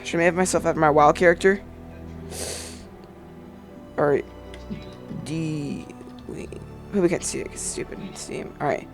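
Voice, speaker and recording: Young male let's-player, high voice, crude jokes, headset mic. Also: unhurried, long pauses, soft background music. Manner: excitable, crude, joking. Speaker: gaming video host. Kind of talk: casual let's play commentary. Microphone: gaming headset.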